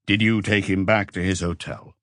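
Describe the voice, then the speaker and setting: A man voicing a calm, probing police inspector in an audiobook, with measured, terse questioning. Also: low-pitched, no room sound, studio quality.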